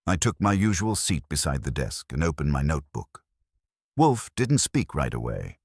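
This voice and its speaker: A very low-voiced man narrates a scripted detective story in the first person. His pitch moves freely up and down, and the voice has a husky edge in a dry, close sound. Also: very animated, moderate pace, dry recording. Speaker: audiobook narrator.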